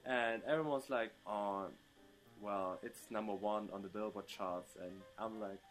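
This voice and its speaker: A young man from Germany answering interview questions casually, with many pauses and ums and playful outbursts. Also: lowish voice, soft background music. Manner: hesitant, many ums, bursts into playful loud laughter. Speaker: interview guest.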